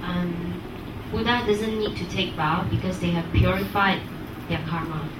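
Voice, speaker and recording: A woman's voice: fairly low pitch, steady tone, measured pace. A woman answering as a student in class, unscripted and hesitant, piecing her answers together as she goes. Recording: echoey room, distant mic, clear noise.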